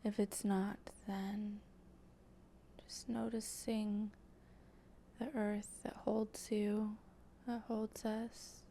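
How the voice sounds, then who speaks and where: A woman leading an improvised guided meditation in a soft, breathy voice that often slips toward a whisper, speaking gently and haltingly with long pauses. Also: medium pitch, flat delivery, a little hiss or hum. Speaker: guided meditation host and channeler.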